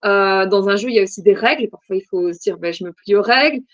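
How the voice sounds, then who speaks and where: A woman's voice: medium pitch, fast, dry recording, muffled recording. Woman, forties, from Belgium, podcast guest, hesitant, many fillers, dry close sound. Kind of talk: casual interview answers.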